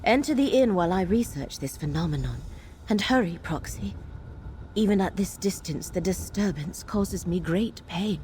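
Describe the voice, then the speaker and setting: An actress playing a solemn, commanding seer in a fantasy video game, speaking in formal, portentous, measured phrases. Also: female voice, on the low side, lively intonation, warm tone, slight background noise, lightly acted. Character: grave mystical seer woman, solemn and commanding.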